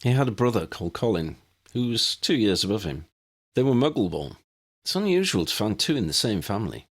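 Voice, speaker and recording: A man voicing an earnest grown man in an audiobook, telling his story in a halting, reflective way. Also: fairly low pitch, big pitch swings, slightly rough, pitched above the performer's own voice, heavily acted. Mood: explaining. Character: earnest grown man recalling a lost school friend.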